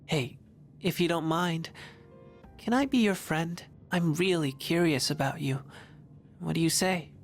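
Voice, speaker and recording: Soft, unhurried mysterious young boy. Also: low-pitched, faint music behind. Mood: friendly, curious. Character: mysterious young boy, gentle, curious, otherworldly friend. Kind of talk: video game voice acting.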